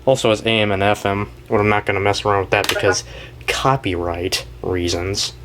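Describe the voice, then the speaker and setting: A man hosting a casual show-and-tell, talking in a rambling, halting way and often trailing off mid-thought. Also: fairly low pitch, fairly even intonation, noisy background. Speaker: video host and weather radio collector.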